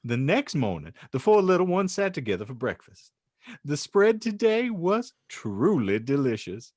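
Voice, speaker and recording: A man's voice: fairly high pitch, big pitch swings, normal speed, a little mellow, no echo, studio quality. A man hosting a comedy podcast and narrating, with a mock-solemn, wry, theatrical delivery. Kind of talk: comedic banter and dramatic story reading.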